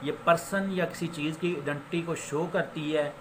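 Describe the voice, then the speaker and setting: A man with a Pakistani accent giving an informal law lecture, explaining with examples and switching into English legal phrases, which he reads slowly and haltingly. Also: on the high side. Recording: noticeable background noise.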